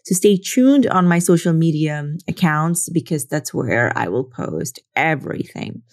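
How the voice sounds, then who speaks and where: A woman's voice: deep voice, expressive, unhurried, a little mellow. A woman from the Philippines, talking earnestly in a casual reflective monologue and often checking in with "right?". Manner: earnest, leans on "you know" and "right?". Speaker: social media creator.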